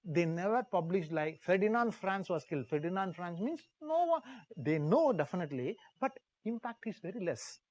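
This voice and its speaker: A man's voice: sits high, big pitch swings, normal speed, darkish tone, dry recording. A man with an Indian accent gives a history lecture as a teacher, telling it like a story, emphatically repeating key phrases and putting questions to the class. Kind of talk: live classroom lecture told as dramatized story.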